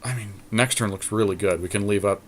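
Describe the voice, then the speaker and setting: A man's voice: on the low side, steady tone, fairly quick. A man co-hosting a podcast, giving casual commentary on a card game as he thinks his moves out aloud. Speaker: podcast co-host playing an online card game.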